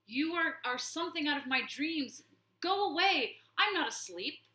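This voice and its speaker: A woman voicing a cruel, boastful male warlord rat in a storybook reading, in loud declamatory speech. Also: high voice, expressive, moderate pace, reverberant, voice pushed up. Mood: frightened, cowering. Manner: declamatory, shouted threats.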